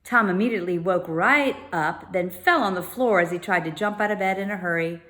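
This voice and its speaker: A woman's voice: fairly low pitch, fairly bright tone. A woman storyteller narrating a children's book, slipping into informal explanatory asides. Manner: pauses to explain unfamiliar words informally. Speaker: read-aloud storyteller.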